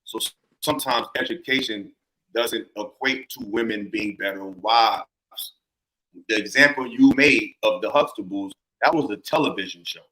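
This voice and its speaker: A man's voice: lowish voice, normal speed, sharp tone, rough recording. A man speaking as a panelist in a heated debate, emphatic and argumentative, citing data to make his case.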